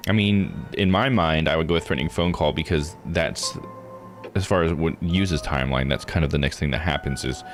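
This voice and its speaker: A man's voice: rumbling low, a little fast, soft background music. A man giving casual, rambling live commentary on a game, explaining and thinking aloud as he plays. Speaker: streamer playing and recapping a visual novel.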